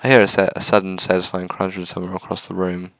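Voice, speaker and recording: A man's voice: low voice, level tone, quick pace, gravelly, a bit bright. A man hosting a gaming video, reading a visual novel aloud with casual asides and stumbling over words now and then.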